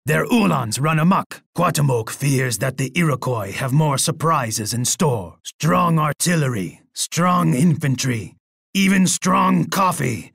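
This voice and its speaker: An actor playing a proud, bloodthirsty and unhinged Aztec emperor in a video game, with a husky voice and a pompous manner. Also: male voice, fairly high pitch, lively intonation, unhurried, fairly bright tone, no echo, studio quality, heavily acted. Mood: grim, fearful. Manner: pompous, speaks of himself in third person. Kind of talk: video game character voice lines.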